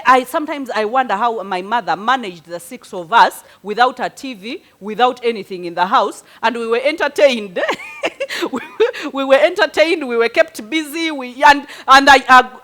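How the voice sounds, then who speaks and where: Woman, middle-aged, non-native English, very high voice; animated advice-giver who talks to a group in stories and questions. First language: a local language, not English. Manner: animated, storytelling with rhetorical questions. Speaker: speaker answering parenting questions. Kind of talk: conversational advice talk to a group.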